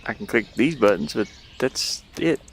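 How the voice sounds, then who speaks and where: A man's voice: mid-range voice, lively intonation, normal speed, gravelly, a little hiss or hum. A man giving casual gameplay commentary, puzzled and muttering to himself as he thinks aloud. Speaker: gamer playing and commenting on a game.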